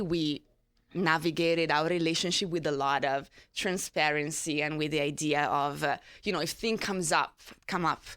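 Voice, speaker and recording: A woman's voice: low-pitched, fairly bright tone, dry recording, studio quality. A woman with an Italian accent, telling her personal story as a panel speaker with self-deprecating humour. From Italy. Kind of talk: personal storytelling to a live audience.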